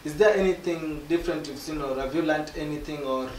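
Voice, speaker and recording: A male interviewer asks questions in a halting way, repeating his first words before he gets going. His fairly high voice echoes around the room, and faint background noise sits behind it. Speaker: interviewer, journalist.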